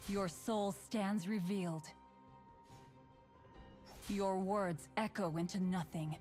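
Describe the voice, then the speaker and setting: An actress playing a stern, righteous warrior of light in a video game, solemn and commanding. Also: female voice, medium pitch, steady tone, slow, a little mellow, soft background music, close to an everyday voice. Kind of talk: scripted video game voice lines.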